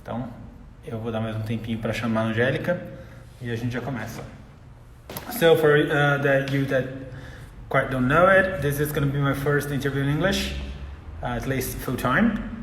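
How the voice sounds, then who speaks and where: A man's voice: mid-range voice, a little fast, long pauses, fairly bright tone, roomy sound, long reverb tail, a little hiss or hum. A man from Brazil with a Brazilian Portuguese accent, hosting a live interview, his native language Portuguese, speaking hesitantly with many fillers.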